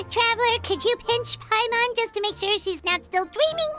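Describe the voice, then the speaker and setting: A woman's voice: very high-pitched, unhurried, over music. A woman voicing a chatty little fairy companion who talks about herself in the third person. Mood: chirpy.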